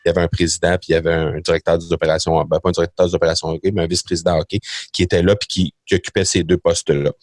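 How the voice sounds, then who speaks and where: Low-voiced Québécois man, hesitant. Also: flat delivery, very fast, dry recording, studio quality. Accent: Québécois French. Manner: hesitant, many fillers and restarts. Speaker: podcast guest, entrepreneur. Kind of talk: casual interview chat.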